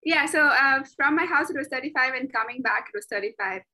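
A woman's voice: high voice, brisk, pushed and bright. A young woman in her twenties from Chennai, chatting in an interview about her law studies with many fillers and restarts. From Chennai, India. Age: 20s. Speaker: interview guest, law graduate. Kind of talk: casual interview talk.

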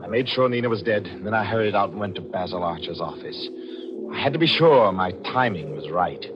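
A cold, scheming murderer played by a male actor in a muffled old radio mystery. His low voice swings widely, theatrical and menacing, savoring each deliberate phrase with gloating relish. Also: expressive, over loud music, lightly acted. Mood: confident narrating. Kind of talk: 1940s radio mystery acting, with first-person narration.

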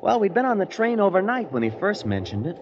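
A man's voice: high voice, very animated, fast talker, a little hiss or hum. An actor playing an impressionable twenty-year-old Missouri prep school boy in folksy, chatty 1940s radio drama style. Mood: reminiscing. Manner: folksy, chatty, wide-eyed. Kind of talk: 1940s radio acting, first-person narration and dialogue. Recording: dull old radio recording with music.

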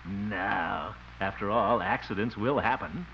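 A man's voice: fairly low pitch, measured pace, gravelly, a crowd in the background. An actor playing a blustery, indulgent middle-aged American man in a 1950s radio comedy. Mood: forced patience. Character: blustery, indulgent, easily flustered grown man living with his mother. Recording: noisy, muffled old radio recording.

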